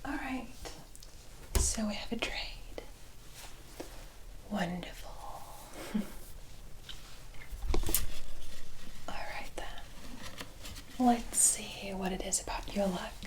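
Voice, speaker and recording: A knowing, soothing witch who tells fortunes, acted out by a woman for ASMR roleplay. She whispers breathily and takes her time with every phrase, extremely unhurried and deliberate. The delivery is hushed and mysterious, like a spell being recited. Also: medium pitch, lightly acted, low-quality recording. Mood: playful, inviting. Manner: slow, deliberate, drawn-out phrasing with incantation-like repetition.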